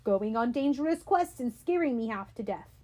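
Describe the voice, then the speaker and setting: A woman's voice: sits high, animated, measured pace, warm tone. A woman voicing a loving, frazzled mother who frets over her son. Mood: scolding.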